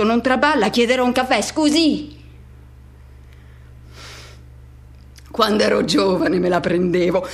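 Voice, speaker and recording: A woman playing a lonely, self-effacing middle-aged man in an introspective, colloquial radio drama monologue with halting repetitions. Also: mid-range voice. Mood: watchful, resigned.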